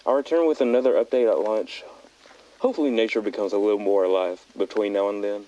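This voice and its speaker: A young male backpacker , acted by a man, records a found-footage audio log on a dull, lo-fi tape. His voice is lowish and dark. He speaks in an understated, hesitant way, with unease beneath it. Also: quick pace, natural voice. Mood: puzzled, uneasy. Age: young adult.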